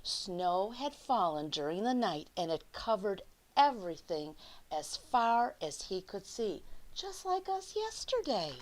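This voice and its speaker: A woman reads a picture book to children at a slow, unhurried pace in a mid-pitched voice. She is expressive, stretching key words and slipping into hushed asides as she puts questions to her young audience. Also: poor audio. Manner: addresses young listeners with questions. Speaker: children's storyteller.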